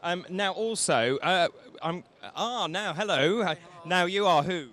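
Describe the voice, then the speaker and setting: Brief: male interviewer, bright sharp tone, eager questions at a trade show stand. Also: high voice, a little slow. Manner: enthusiastic, inquisitive.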